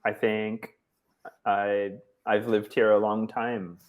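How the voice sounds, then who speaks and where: A man from the United States, tutoring English over a video call in a relaxed, encouraging way with many short affirmations. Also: lowish voice, takes it slowly. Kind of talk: casual tutoring chat.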